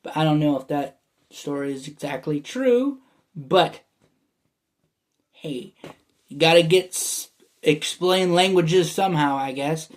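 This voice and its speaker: Young American man, slightly raised pitch, loose rambling solo vlog delivery with lots of fillers. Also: lively intonation, slow pace, pauses often. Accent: American, often heard as Canadian. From United States. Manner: meandering, many fillers and restarts, chuckles. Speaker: vlogger. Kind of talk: casual rambling monologue.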